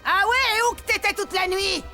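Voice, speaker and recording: A cruel cartoon café mistress scolds and bullies in coarse working-class speech. Her voice is extremely high and shrill, with music clearly audible behind it. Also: fairly even intonation, moderate pace, bright, forceful tone. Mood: scolding. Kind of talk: French cartoon voice acting.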